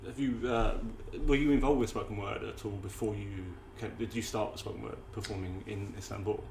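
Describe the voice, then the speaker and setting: A man's voice: lowish voice, fairly even intonation, brisk, vocal fry, a little mellow. Chatty man hosting a podcast, echoey room. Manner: chatty, swears, trails off and restarts mid-sentence. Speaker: podcast host. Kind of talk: casual interview chat. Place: room with several poets nearby. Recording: echoey room, faint ambient noise.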